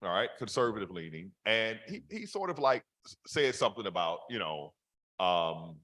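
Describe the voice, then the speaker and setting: A man with a deep voice telling stories animatedly in a casual conversation over a video call, with many fillers. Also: big pitch swings, moderate pace, sharp tone, poor audio.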